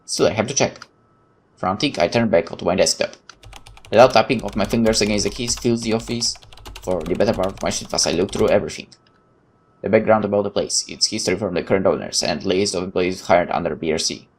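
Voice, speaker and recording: A man's voice: low voice, fast talker. A man reading visual novel narration aloud dramatically, with casual asides as a gaming host.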